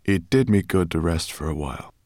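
A man voicing a gentle young male dragon in an audiobook, soft-spoken and calm. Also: deep voice, fairly quick, no room sound, clean studio sound. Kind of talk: audiobook character voice.

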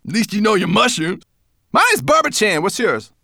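A high sneering brownie creature. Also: male voice, big pitch swings, vocal fry, pushed and bright, no room sound, studio quality, heavily acted. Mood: mocking. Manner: high cackling sneer, fast. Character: scornful four-armed male brownie, mocking.

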